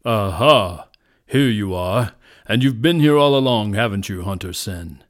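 Man voicing a booming, deep, mighty lion king. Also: lively intonation. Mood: menacing. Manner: solemn, slow, authoritative proclamations.

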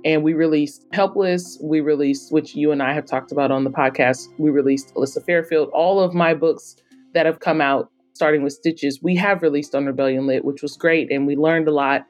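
A woman's voice: low-pitched, fairly even intonation, moderate pace, a little mellow, soft background music. A woman speaking conversationally as a guest in a podcast interview, explaining her work. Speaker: podcast guest, author and editor-in-chief.

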